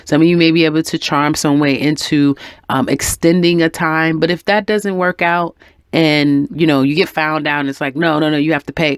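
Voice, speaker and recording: A woman's voice: sits low, no echo, studio quality. A woman with a head cold, her voice going in and out, giving a chatty, encouraging weekly tarot reading. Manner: chatty and encouraging, with frequent ums and little laughs. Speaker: tarot reader.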